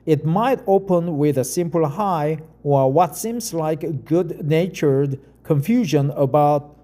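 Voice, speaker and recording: A middle-aged Korean man with a Korean accent, a non-native English speaker talking casually and reading an article aloud, halting with frequent fillers and restarts. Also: on the high side, mellow.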